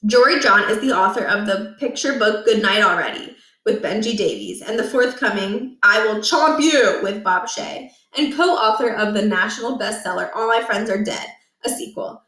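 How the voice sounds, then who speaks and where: A woman's voice: mid-range voice, moderate pace, pushed and bright, recorded from a distance. A woman reading aloud as a storyteller, narrating a children's book from the text. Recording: echoey, roomy home recording.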